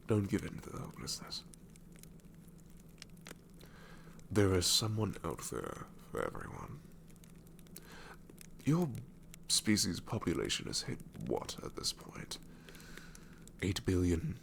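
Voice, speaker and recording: A man's voice: huge pitch swings, unhurried, a bit bright, a little hiss or hum, exaggerated voice. A man playing an ancient, sassy shadow demon in an ASMR roleplay, wry and world-weary but tenderly reassuring, often slipping into a whisper. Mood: gently reassuring, then wry, exasperated. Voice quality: very deep, gravelly, often breaking into whisper.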